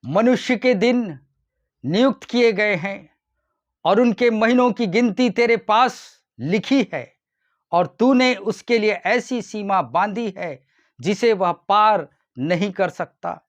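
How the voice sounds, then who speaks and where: Guy preacher doing a devotional sermon, pretty high voice, really slow deliberate delivery. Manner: deliberate, repeatedly addressing listeners as dear ones. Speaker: pastor.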